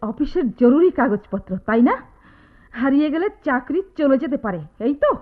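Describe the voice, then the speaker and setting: An actress playing a bitter, accusing wife in a radio drama, melodramatic and sarcastic. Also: female voice, high-pitched, animated, moderate pace. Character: bitter, accusing wife and mother confronting her husband. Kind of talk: Bengali radio drama acting. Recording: tinny lo-fi radio play.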